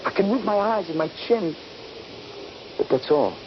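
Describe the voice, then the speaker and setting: A muffled, noisy vintage radio drama recording carries an injured, trapped soldier. He tells of his ordeal with very lively, wide-ranging intonation, in the style of old radio acting. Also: high-pitched, normal speed. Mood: dazed, strained. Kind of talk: radio drama acting and first-person narration.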